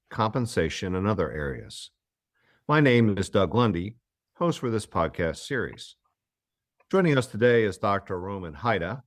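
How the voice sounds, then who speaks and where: A man hosts a medical podcast. He reads a formal introduction in a measured way, then moves into conversation. The sound is close and dry. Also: sits low, no echo. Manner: formal, reads a long guest biography. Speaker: podcast host. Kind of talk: scripted introduction and interview.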